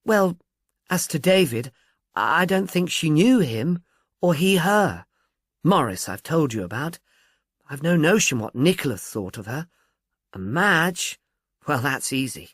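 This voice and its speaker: A man voicing a frank, brisk woman at a film studio, gossipy and matter-of-fact, in an audiobook. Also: a little high, very animated, a bit bright, no room sound, exaggerated voice. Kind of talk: audiobook character dialogue.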